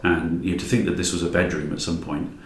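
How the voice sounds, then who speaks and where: A man's voice: deep voice, little pitch movement, fast talker. A man chatting casually as a podcast guest, enthusiastic and emphatic. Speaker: podcast guest, drama creator. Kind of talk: casual chat. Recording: echoey room with noticeable background ambience.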